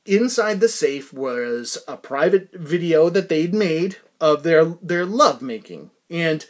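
Middle-aged guy podcast host, pretty high voice, chatty and animated, keeps tripping back over his own words. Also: takes it slowly. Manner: animated, self-interrupting, repeats words for comic emphasis. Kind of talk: rambling casual podcast monologue.

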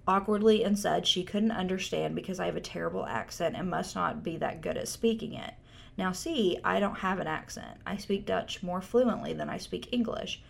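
Co-host, female, low and gravelly, wry reader of posts. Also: fairly bright tone. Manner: wry, reads with side comments. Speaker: podcast co-host. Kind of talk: casual chat, reading posts aloud.

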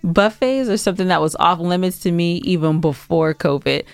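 An American woman with a smooth, clear voice on the lower side co-hosts a podcast. She speaks casually but bluntly, stressing her points with disapproval. The recording is dry and free of room sound. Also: moderate pace, no echo. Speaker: podcast co-host. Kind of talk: casual banter.

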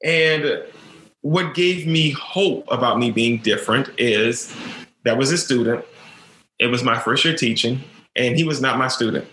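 A man's voice: a little high, pushed and bright, roomy sound. A man who is a teacher, telling his own story in an interview, earnest and stuttering, repeating words as he goes.

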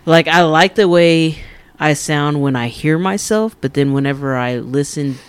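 An easygoing man from Amarillo, Texas, chats on a podcast. His voice sits a little high, and his replies are short and unhurried. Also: clean tone, no room sound. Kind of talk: casual chat.

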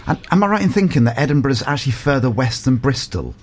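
A man's voice: medium pitch, big pitch swings, fast talker, breathy edge. A man from England hosting a local radio show, chatty and upbeat, rambling a little as he introduces his guest. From Portsmouth, England. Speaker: radio host.

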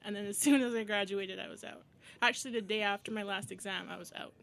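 A woman's voice: mid-range voice, steady tone, fast, vocal fry, fairly bright tone, dry recording. A woman, chatting casually as a podcast guest. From Barbados. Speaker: podcast guest, battle rapper. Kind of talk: casual interview chat. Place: podcast studio attached to a bar.

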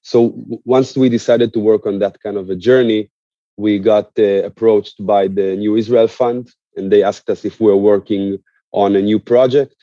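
Very dark-voiced man explaining earnestly. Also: fairly low pitch, fairly even intonation, normal speed, no echo, lo-fi sound. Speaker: interview guest, musician and activist.

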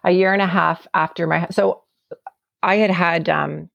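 A woman from Canada speaks with a gravelly, creaky texture, her voice sitting a little low. She tells her story earnestly and from the heart, sometimes doubling back on her words. The sound is close and dry, with no room around it. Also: steady tone, measured pace, a bit bright, no echo, lo-fi sound. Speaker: guest. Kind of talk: reflective personal storytelling.

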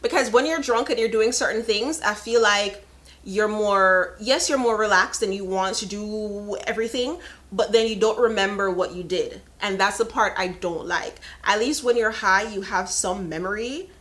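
A woman's voice: mid-range voice, normal speed, a bit bright. A woman in her thirties hosting a casual live podcast, chatting frankly and laughing often. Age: 30s. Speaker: podcast host. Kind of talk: casual live-stream chat.

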